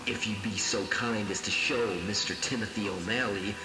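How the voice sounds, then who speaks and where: A man's voice: lowish voice, fairly even intonation, normal speed, over music, natural voice. An actor playing a grim, righteous masked male vigilante, formal and ominous, in old time radio hero style. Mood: grim, solemn. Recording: echoey room.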